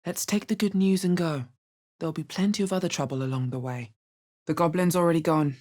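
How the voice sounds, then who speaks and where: A woman playing a wary, hard-bitten young adventurer in a fantasy video game, with a deep, husky voice and a guarded, terse delivery. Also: a little fast, darkish tone. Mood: wary, dry. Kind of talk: scripted video game character voice lines.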